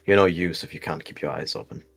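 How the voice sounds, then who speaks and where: A man's voice: low voice, steady tone, quick pace, gravelly, a bit bright, soft background music, heavily acted. A man voicing a stern, disdainful male warrior cat mentor, scornful and demanding, in a roleplay story. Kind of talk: roleplay story with self-narrated actions.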